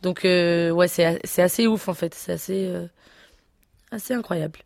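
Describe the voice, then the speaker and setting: A woman in her twenties, a singer answering interview questions casually, pausing often with fillers and restarts. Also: on the low side, steady tone, creaky, darkish tone, no room sound, studio quality. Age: 20s. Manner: hesitant, many fillers and restarts. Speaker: singer guest.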